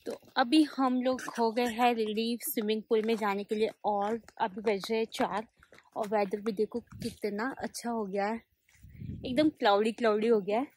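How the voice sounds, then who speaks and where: A woman's voice: a little high, steady tone, moderate pace, noisy background. A young woman talking straight to her viewers in a casual travel vlog, filmed on a phone held at arm's length outdoors. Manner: chatty, addresses viewers directly. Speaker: vlogger. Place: outdoors at a hill resort.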